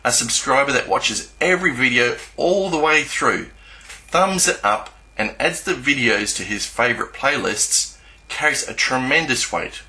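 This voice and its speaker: A man giving a scripted explanatory narration in a measured, didactic way, spelling out each step. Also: mid-range voice, expressive, bright, forceful tone, poor audio. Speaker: YouTube channel narrator.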